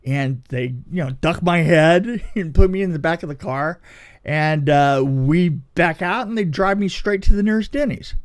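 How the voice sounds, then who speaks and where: A middle-aged man telling a story in casual conversation, dramatic and halting, repeating phrases for emphasis. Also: on the high side. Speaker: podcast co-host telling anecdotes.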